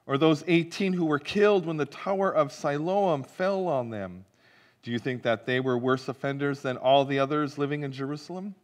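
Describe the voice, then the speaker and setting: A man's voice: on the high side, normal speed. A middle-aged man, a pastor, reading the gospel and preaching a sermon in a church sanctuary, telling the story vividly and asking the congregation questions.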